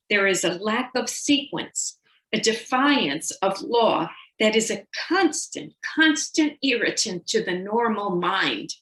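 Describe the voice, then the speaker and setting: Woman reading as a paranoid victorian wife telling her story, confiding n obsessive, slow dramatic delivery w big pauses, echoey room. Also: mid-range voice, fairly bright tone. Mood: agitated, exasperated. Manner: dramatic, deliberate, with loaded pauses. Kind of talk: dramatic first-person short story reading.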